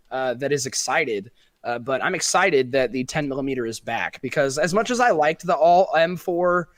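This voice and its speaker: A man's voice: on the high side, no echo. A man casting an esports match in a casual way, with many fillers as he works through his analysis. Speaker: esports caster.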